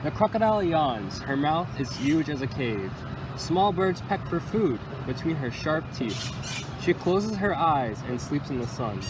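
Lively young man reading a children's story outdoors. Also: on the high side, measured pace. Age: young adult. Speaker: county environmental employee reading to children. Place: outdoors under a tree by water. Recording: outdoor recording with loud ambient noise.

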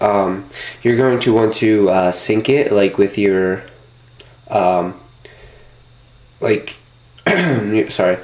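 A man's voice: lowish voice, darkish tone. A young man with a stuffed-up nose from a cold, walking through a tech how-to in a loose, chatty way full of filler sounds, recorded indoors at home on a nearby camera. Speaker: tech tutorial host. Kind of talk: casual step-by-step how-to.

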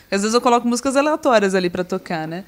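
A woman's voice: medium pitch, very fast. A Brazilian woman in her thirties, a guest singer, talking casually on a podcast at a desk mic in a small studio. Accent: Brazilian Portuguese. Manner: relaxed, explains with many fillers. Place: small studio room indoors. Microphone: desk mic on stand.

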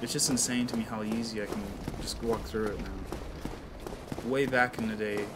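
A man's voice: on the low side, steady tone, moderate pace. Man, young-sounding voice, rambling game commentary, laughs a lot, heavy background noise. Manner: swears a lot, laughs often, rambling with fillers. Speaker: let's play gamer. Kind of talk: casual gameplay commentary.

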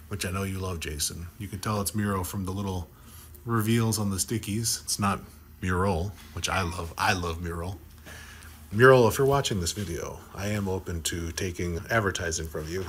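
A man's voice: sits low, lively intonation, moderate pace, pushed and bright, a little hiss or hum. A middle-aged man hosting a podcast in an office meeting room, talking into a desk mic in an upbeat, teasing way. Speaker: podcast host. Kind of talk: lively on-site podcast chat.

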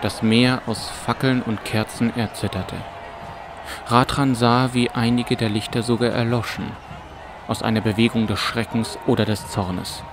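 A man's voice: mid-range voice, little pitch movement, unhurried, background noise. A man narrating a scripted fantasy audiobook as a storyteller. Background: music and ambience.

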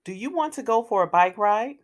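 A woman's voice: medium pitch, lively intonation, brisk, lightly acted. A woman voicing a friendly, upbeat young girl who is eager to help, in a children's storybook.

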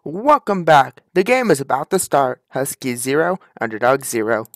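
A man's voice: mid-range voice, expressive, normal speed, fairly bright tone, no echo, clean studio sound. A man reading scripted narration and match commentary for a comic dub, in short clipped phrases.

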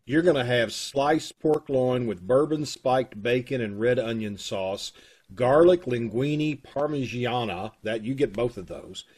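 A middle-aged man with a husky voice, making folksy, casual announcements to an online audience over a video call. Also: medium pitch, measured pace, darkish tone. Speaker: troupe host making announcements. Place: room at home, on video call. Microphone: video call microphone.

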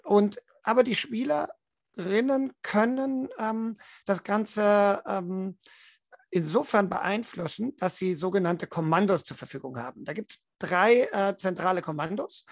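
Young guy game master explaining rules, soft calm voice, measured, close dry mic. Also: high-pitched, dry recording. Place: room at home, on a video call.

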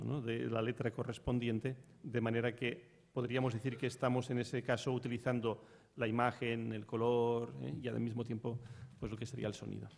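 Middle-aged man, monotone, measured lecturer, table mic, reverberant hall. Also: medium pitch, gravelly. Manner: measured, explanatory. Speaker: conference speaker on tarot. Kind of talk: lecture closing and Q&A answers. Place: large conference hall with audience.

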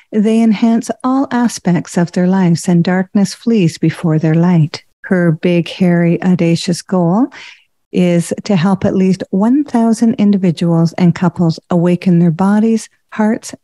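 Measured woman host, dry mellow voice. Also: medium pitch, no room sound. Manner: measured, formal reading. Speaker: podcast host. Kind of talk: scripted introduction and interview.

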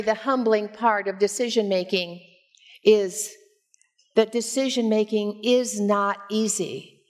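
An older woman in her sixties delivers a sermon from a church pulpit. She takes her time, speaking slowly at a medium pitch. Her delivery is measured and expressive, like a pastor telling a personal story to make her point. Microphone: headset.

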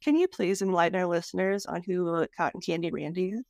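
A woman's voice: on the low side, fairly quick, gravelly, darkish tone, dry recording, studio quality. A woman hosting a podcast interview in a casual, conversational style.

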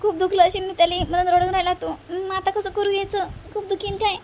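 A woman's voice: extremely high pitch, level tone, fast, darkish tone, slight background noise. A woman voicing a tender, over-anxious cartoon mother, tearful. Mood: tearful, fretting.

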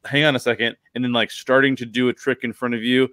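A middle-aged American man hosts a casual podcast from home. He speaks emphatically in a medium-pitched voice, close to the microphone with very little room sound. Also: flat delivery, quick pace, fairly bright tone, no echo. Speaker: podcast host. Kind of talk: casual, animated podcast discussion. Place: bedroom at home, on a video call. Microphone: close desk microphone with headset.

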